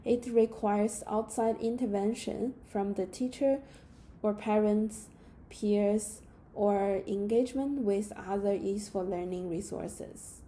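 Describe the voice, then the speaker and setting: Chinese-accented young woman, very mellow voice. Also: mid-range voice, slow. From China. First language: Mandarin. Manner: steady, careful reading of a prepared script. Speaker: student presenter. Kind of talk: read class presentation.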